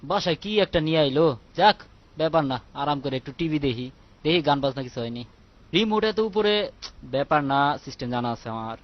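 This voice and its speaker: A man's voice: a little high, lively intonation, brisk, lightly acted. A man voicing a sly, lazy, wisecracking house cat in a comic cartoon dub, with a Bangladeshi accent. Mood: lazy, grumbling. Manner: mocking, wisecracking. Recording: dull, muffled dry audio.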